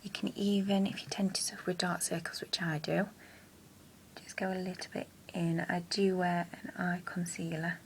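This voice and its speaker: A young woman talks through a makeup tutorial in a low, gravelly, croaky voice. She rambles casually with fillers, recorded close on a camera mic in a small room at home with a faint hiss behind her. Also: little pitch movement, normal speed. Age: young adult. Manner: chatty, rambling, with hesitant fillers. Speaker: makeup vlogger. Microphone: built-in camera mic at close range.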